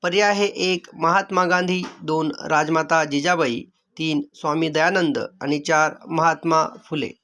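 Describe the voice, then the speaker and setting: A man reading out multiple-choice quiz questions and answers as a teacher, in a measured, formulaic way. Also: a little high, steady tone, bright, forceful tone. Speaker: exam-prep quiz teacher.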